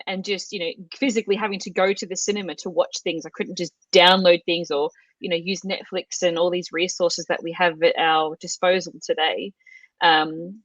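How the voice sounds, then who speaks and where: Australian woman, chatty, low voice. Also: dry recording, lo-fi sound. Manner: chatty, many fillers and restarts. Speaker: guest, language course creator. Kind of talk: casual interview chat.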